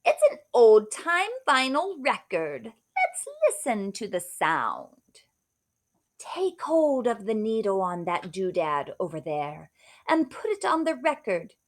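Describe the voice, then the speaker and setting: A woman's voice: mid-range voice, big pitch swings, slow pace. A woman voicing a wicked, sly witch from a storybook, gloating and taunting theatrically as she draws out her words. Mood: sly, coaxing. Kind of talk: storybook read-aloud.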